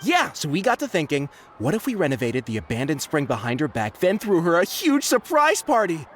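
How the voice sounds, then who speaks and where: A man's voice: fairly high pitch, wildly swooping pitch, fairly quick, a bit bright, slight background noise. A man playing an eager, friendly young villager in a video game, earnest and chatty. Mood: excited, confiding. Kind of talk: English video game voice acting.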